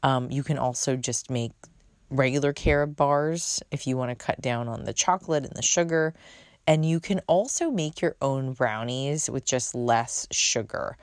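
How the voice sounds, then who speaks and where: Woman, podcast host, very deep voice, soothing, chatty, unfiltered, dry close sound. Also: moderate pace, no room sound. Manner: chatty, unfiltered, swears casually. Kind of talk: casual solo podcast chat.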